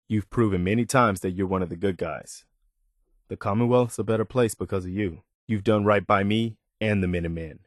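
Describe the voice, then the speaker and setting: An actor playing an earnest, loyal militia lieutenant in a video game, sincere, respectful and soldierly. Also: male voice, lowish voice, no echo, clean studio sound, close to an everyday voice. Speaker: companion character in a video game.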